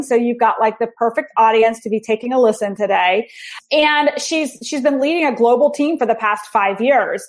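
A woman hosting a podcast, introducing her guest in a chatty, enthusiastic way. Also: on the high side. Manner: chatty, enthusiastic, restarts phrases. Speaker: podcast host.

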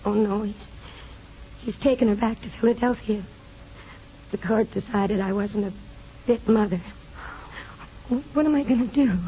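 An actress playing a distraught, pleading young wife and mother in 1950s American radio drama, speaking emotionally and haltingly. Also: mid-range voice, warm tone, a little hiss or hum, natural voice. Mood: despairing. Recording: dull old radio broadcast recording.

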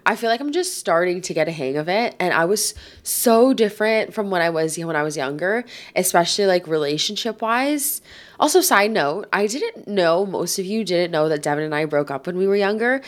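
A woman's voice: lowish voice, animated, normal speed. Stuffy-nosed chatty woman in her twenties. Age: 20s. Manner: chatty, rambling, says "like" a lot. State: stuffy nose from a cold. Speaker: podcast host. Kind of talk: casual solo podcast chat.

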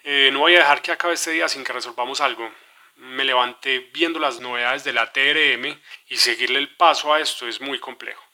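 A man's voice: mid-range voice, fairly even intonation, a little fast, bright, forceful tone. A man playing a worried, businesslike executive in a scripted podcast scene, speaking with urgency. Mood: urgent. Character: worried businessman anxious about dollar swings, pressing and decisive. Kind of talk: scripted podcast dramatization, one side of a call.

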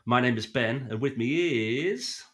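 A British man with a voice husky from a cold, co-hosting a podcast in an excitable, casual fan style. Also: medium pitch, measured pace. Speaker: podcast co-host.

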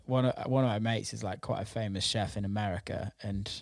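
A British man with a lowish voice talks in an informal, enthusiastic way. He swears freely and chats as if with a mate. The recording is close and dry, with little room sound. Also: steady tone, brisk, vocal fry, no echo. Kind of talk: casual chat about food.

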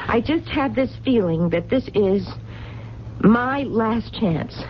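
A woman's voice: a little high, animated, slow pace. An actress in a radio drama playing a lonely, romantic, stubborn working woman with plain colloquial speech. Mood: stubborn, pleading. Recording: dull, noisy 1970s radio recording.

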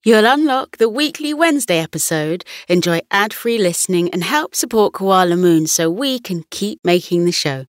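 A woman narrating a scripted bedtime story in a soothing, unhurried storytelling manner. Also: on the low side, animated, no room sound, studio quality.

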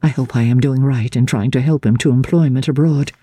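A woman giving a formal, expressive and measured dramatic narration of a diary from a classic novel. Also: a bass voice, husky, dry recording. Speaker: audiobook narrator.

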